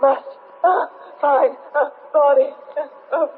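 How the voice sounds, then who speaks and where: An actor playing a dying alien in a 1970s radio drama, pleading to survive in halting, broken phrases. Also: male voice, extremely high pitch, fairly even intonation. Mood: labored, urgent. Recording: muffled, noisy vintage radio recording.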